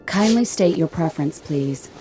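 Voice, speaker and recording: An actress playing a polite, literal-minded spaceship computer in a science fiction radio drama, with formal, clipped, repetitive phrasing. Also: female voice, low voice, expressive, moderate pace, over music. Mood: polite, detached.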